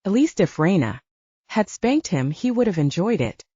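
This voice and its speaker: Lady narrating a novel, lively swoops in a mellow voice, kinda muffled recording. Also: medium pitch, moderate pace, husky, dry recording. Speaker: audiobook narrator. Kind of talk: scripted fiction narration with acted dialogue.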